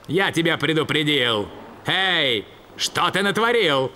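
A man's voice: on the high side, expressive, takes it slowly, bright, forceful tone, slight background noise, full character voice. A man voicing a stern, menacing male dog villain officer in a cartoon dub, speaking in a threatening, commanding way.

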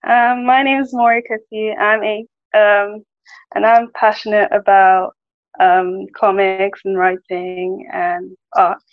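A woman's voice: medium pitch, level tone, slow pace, dry recording, narrow, tinny audio. A woman speaking hesitantly, with many likes and ums, as a guest on a video call panel.

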